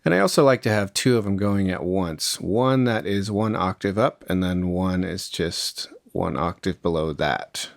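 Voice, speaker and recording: A man's voice: low voice, lively intonation, a little slow, creaky, hardly any pauses, dry recording, studio quality. A man presenting a music production tutorial in a casual, conversational, step-by-step way. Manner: informal, conversational instruction.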